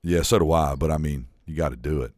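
A man's voice: extremely low pitch, quick pace, a little mellow, dry recording, clean studio sound. A man co-hosting a podcast, telling a long story in casual chat and acting out what others said, swearing now and then. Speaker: podcast co-host.

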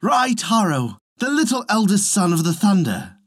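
A storyteller voicing a poor, pious, excitable peasant man who exclaims in old-fashioned storybook phrases. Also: medium pitch, moderate pace, no room sound, pitched above the performer's own voice. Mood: joyful awe. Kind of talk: storybook reading.

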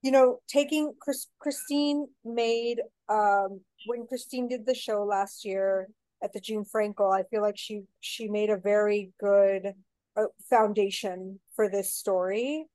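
A woman's voice: medium pitch, steady tone, unhurried, dark tone, no room sound, rough recording. A woman from Mexico, a theater director, talking casually in an interview with lots of filler words. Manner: chatty, rambling with many "you know" fillers.

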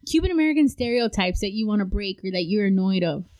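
A woman hosting a casual interview, playful and quick to laugh, saying "like" often. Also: mid-range voice, animated, darkish tone.

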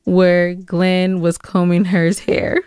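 A woman's voice: on the low side, completely flat delivery, takes it slowly, a little mellow, smooth, no room sound. A woman co-hosting a podcast in casual, playful conversation, teasing her partner. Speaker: podcast co-host. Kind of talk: casual bantering chat.

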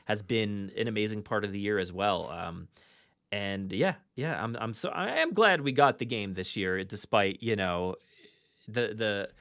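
A man's voice: lowish voice, a little slow, a bit bright, no echo, studio quality. A man hosting a podcast in casual fan chat, enthusiastic but often restarting and repeating his phrases. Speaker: podcast host.

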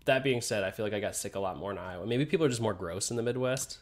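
A man's voice: on the low side, very fast. A young man co-hosting a casual podcast over beers, congested from a sinus infection, laid-back and laughing often. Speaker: podcast co-host.

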